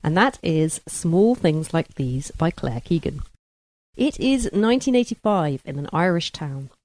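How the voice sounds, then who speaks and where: Low-voiced woman hosting a book podcast. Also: very animated, unhurried, a little mellow, dry recording, rough recording. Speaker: book club podcast host. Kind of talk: book discussion and introductions.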